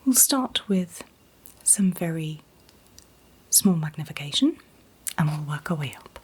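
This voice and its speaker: A woman in a gentle, soothing ASMR roleplay as an optician, whispering breathily and reassuringly without hurry. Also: sits low, lively intonation, measured pace. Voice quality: breathy, mostly unvoiced whisper. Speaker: ASMR performer playing an optician.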